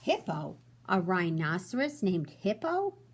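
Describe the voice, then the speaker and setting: A woman voicing a small, shy and polite male porcupine in a storybook read-aloud, in a high, small voice. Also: very animated, unhurried, a little hiss or hum. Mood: amused.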